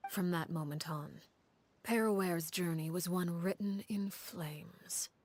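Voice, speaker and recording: Casting: cold, commanding game harbinger woman, low-pitched, hushed and breathy, measured storyteller. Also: a little hiss or hum. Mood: solemn, restrained. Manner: measured, solemn, deliberate. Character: composed, cold, commanding woman harbinger telling her grim past. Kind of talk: dramatic storytelling in a video game.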